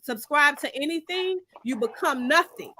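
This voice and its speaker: A woman's voice: sits high, animated, moderate pace, very noisy. A woman from Finland speaking in an opinionated discussion, making her points in an emphatic, insistent and argumentative way.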